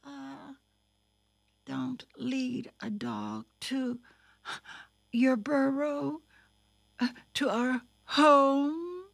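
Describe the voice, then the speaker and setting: A woman voicing a young male rabbit, an excitable, harried big brother in a children's storybook. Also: very high-pitched, very slow, gravelly, hardly any pauses, dry recording, voice pushed up, heavily acted. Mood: sheepish.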